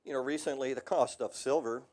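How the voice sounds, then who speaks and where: A man's voice: medium pitch, a little fast, a little mellow. A middle-aged man giving a casual technical seminar talk on industrial radiography, with a slightly worn voice and frequent ums. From United States.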